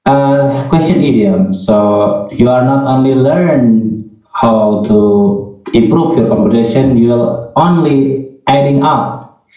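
Slow, mellow man in an echoey call. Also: mid-range voice, soft background music. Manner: slow, wraps up politely. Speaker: English teacher. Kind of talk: closing announcement. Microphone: video call. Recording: very echoey distant dull call audio.

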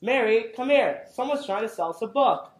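A simple, literal-minded male villager played by a young man in a comic stage play. He is blunt and baffled, with very lively intonation. The sound is roomy, as from a theatre stage. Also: extremely high pitch, normal speed. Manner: baffled, blunt, rustic phrasing. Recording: roomy, echoey stage recording.

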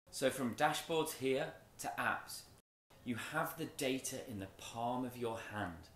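A man giving a rehearsed product launch presentation in measured, emphatic short phrases with deliberate pauses, as a company presenter. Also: mid-range voice, a bit bright, a little hiss or hum. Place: stage in a large event tent with audience. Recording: roomy echo from event PA.

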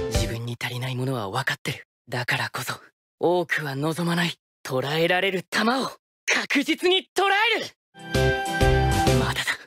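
A man voicing a determined, quietly sharp-tongued teenage athlete boy, earnest and intense, in game clips. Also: on the high side. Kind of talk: anime game character voice clips. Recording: loud game music underneath.

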